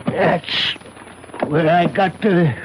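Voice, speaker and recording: An actor playing a nervous, apologetic small-town taxi driver with a halting, folksy manner in a radio drama. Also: male voice, a little high. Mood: remorseful, dazed. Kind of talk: old-time radio drama acting. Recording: noisy 1970s radio drama broadcast.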